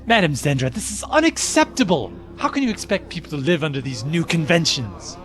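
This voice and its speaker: A man acts as an exasperated male colony citizen in an audio drama. His voice is high and swings widely as he argues and protests. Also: sing-song, a little fast, a bit bright. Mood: indignant. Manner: indignant, protesting.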